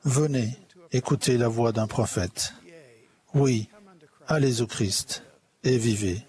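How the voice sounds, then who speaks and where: A man interpreting a church conference sermon in a measured, solemn, earnest way. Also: mid-range voice, mellow. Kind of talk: formal sermon delivered in translation.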